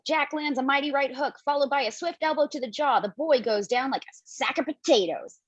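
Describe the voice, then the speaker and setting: A woman reading the stage directions in a screenplay table read, brisk and casually playful. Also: high-pitched, no echo. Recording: dull lo-fi home mic.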